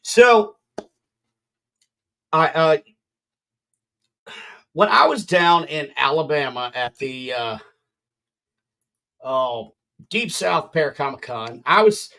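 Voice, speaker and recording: Bright-voiced joking male podcast host. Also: fairly high pitch. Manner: joking, rambling, teasing. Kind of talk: casual chat on a livestream.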